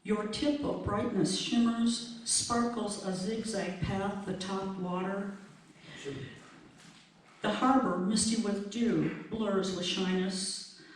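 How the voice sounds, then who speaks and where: A woman recites poetry slowly and with measured phrasing. She is some way from the microphone in a strongly echoing room with faint noise. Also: medium pitch, fairly even intonation. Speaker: poet reading her poem. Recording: very echoey room, distant mic, faint noise.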